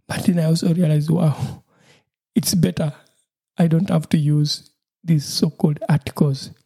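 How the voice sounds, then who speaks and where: Cheerful Ugandan man with a husky voice. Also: fairly high pitch, little pitch movement, measured pace, mellow. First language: Lusoga. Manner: cheerful, laughs often, repeats himself. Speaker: podcast host. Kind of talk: solo podcast monologue.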